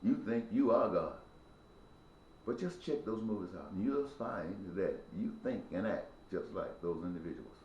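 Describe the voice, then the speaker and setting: A man in his early sixties speaks in a low voice with a preachy, repetitive, emphatic cadence. The room is echoey, and a faint ambient hum sits under him. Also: expressive, moderate pace, darkish tone, lo-fi sound. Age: 60s. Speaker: speaker addressing viewers. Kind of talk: impassioned spoken monologue.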